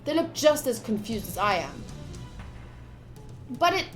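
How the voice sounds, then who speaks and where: A woman's voice: on the high side, very animated, unhurried, fairly bright tone, faint music behind. A woman streamer reading a visual novel aloud and chatting to viewers, moving between dramatic narration and casual asides. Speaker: game streamer. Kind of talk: live visual novel playthrough, reading aloud and commenting to chat.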